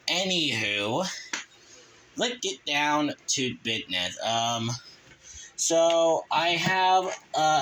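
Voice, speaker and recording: A man from Kansas chats through a home show-and-tell vlog in a bright, forceful voice at a middling pitch. He rambles, restarts his sentences often and keeps slipping in the same verbal tic. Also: slight background noise, rough recording. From Kansas, USA. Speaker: channel owner, weather radio hobbyist.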